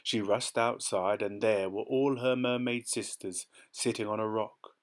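Calm low-voiced man reading. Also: measured pace. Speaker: storybook reader. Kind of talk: gentle read-aloud storytelling.

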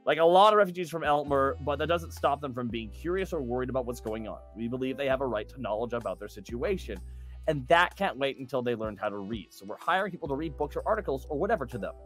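A man's voice: medium pitch, animated, fast, soft background music, close to an everyday voice. A man voicing an earnest, chatty gnome assistant librarian who rambles eagerly through a fantasy newspaper interview. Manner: rambling, eager, self-conscious joking.

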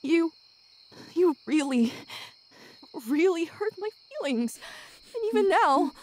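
A woman playing a sadistic, obsessive demon woman in an ASMR roleplay, gloating and possessive, with menacing breathy whispers that can break into shouts. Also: very high voice, sing-song, takes it slowly, exaggerated voice. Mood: furious.

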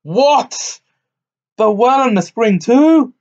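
A man voicing a huge, dim-witted, blustering giant who talks in a slow, booming, old-fashioned way. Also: expressive, a little mellow, heavily acted. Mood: uneasy. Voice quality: booming, thundering. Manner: slow, drawn-out archaic speech. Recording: dull lo-fi recording.

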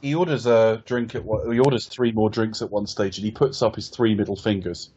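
A man's voice: lowish voice, steady tone, a little fast, darkish tone, slightly rough. A man from Ireland hosting a casual film review podcast, rambling and wry, often correcting himself. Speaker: podcast host. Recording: dull lo-fi podcast audio.

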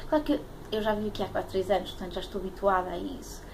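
A woman's voice: medium pitch, level tone, very fast. A woman in her late twenties with a European Portuguese accent, talking casually to camera as a vlogger, hesitant and searching for words. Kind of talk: casual talk to camera, airing a pet peeve. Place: small room at home, indoors. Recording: clearly noisy home webcam audio.

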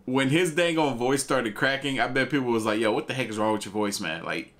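Laid-back reaction host. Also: male voice, mid-range voice, brisk, fairly bright tone. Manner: laid-back, chuckling, talks to viewers. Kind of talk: casual reaction commentary. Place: home room with bookshelves and gaming chair.

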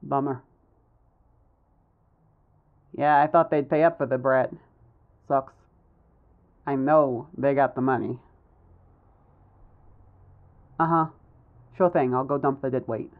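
A man's voice: mid-range voice, steady tone, normal speed, pauses often, narrow, tinny audio, natural voice. A man playing a callous, mocking kidnapper with casual menace, in an audio roleplay. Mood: cold, casual. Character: callous male kidnapper, mocking thug disposing of a hostage.

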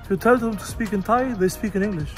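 A high-voiced man in his thirties talks bluntly and jokingly through a gym vlog. Music runs under him as he coaches and chats, speaking on a lapel mic in an open-air gym. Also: fast. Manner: blunt, joking, non-native English. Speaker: vlogger and Muay Thai coach. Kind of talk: casual vlog talk.

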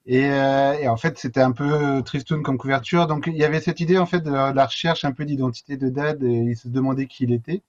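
A man's voice: medium pitch, a little fast, few pauses, a little mellow, muffled recording. A middle-aged man, a guest author explaining casually over a video call. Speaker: guest comic book author. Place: indoor room with bookshelves, video call.

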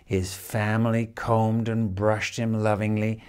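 An older man reads a children's picture book expressively, with measured phrasing and dramatic pauses. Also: lowish voice, flat delivery, darkish tone, smooth. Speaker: storyteller reading a picture book. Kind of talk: expressive children's storybook reading. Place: indoors, room in a house with stone wall.

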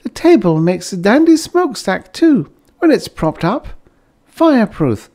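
Audiobook wife played by a man: high, wildly lilting, wide-eyed, gushing and adoring. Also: big pitch swings, a little slow, a little mellow, heavily acted. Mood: gushing delight. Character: chirpy, adoring young pioneer wife in a story.

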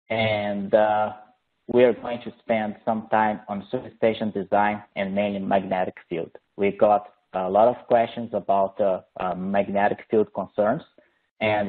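Brazilian-accented man on a phone-quality line. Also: lowish voice. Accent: Brazilian Portuguese. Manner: hesitant, many "uh" fillers, friendly and explanatory. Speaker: engineer presenting a webinar. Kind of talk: technical webinar presentation. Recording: phone-like narrowband audio.

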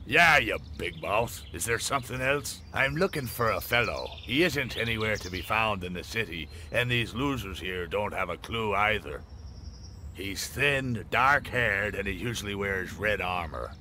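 An actor in a video game playing a shady, gruff pirate who talks in a mocking, bossy, swaggering way. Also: male voice, on the low side, lively intonation, moderate pace, sharp tone, a little hiss or hum. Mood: gruff, scheming. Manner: taunting, swaggering.

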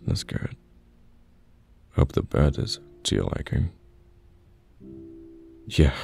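A man playing a caring, patient boyfriend in an ASMR roleplay, speaking gently and haltingly with reassurance, close to the mic. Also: extremely low pitch, gravelly, heavily acted. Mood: tender, sleepy. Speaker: voice actor in an audio roleplay. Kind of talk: soft-spoken ASMR boyfriend roleplay, pillow talk. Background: soft piano or guitar music.

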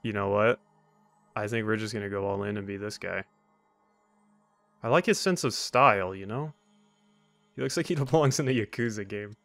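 A man's voice: fairly low pitch, moderate pace, pauses often, fairly bright tone, no echo. A man giving casual, rambling gameplay commentary as a let's play host, with wry asides. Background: faint game music.

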